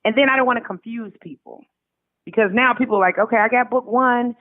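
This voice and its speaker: A woman's voice: on the high side, a little fast, dry recording. A woman guest speaking casually and emphatically over the telephone in an interview, repeating her points and acting out quoted remarks. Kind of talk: casual conversational interview answers. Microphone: telephone call. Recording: tinny narrow phone line.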